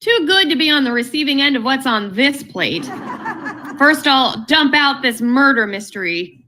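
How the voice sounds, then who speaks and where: Lady doing a patient wry wife in an old radio comedy, really high voice, noisy clicky recording. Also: lively intonation, moderate pace, sharp tone. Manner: wry, indulgent.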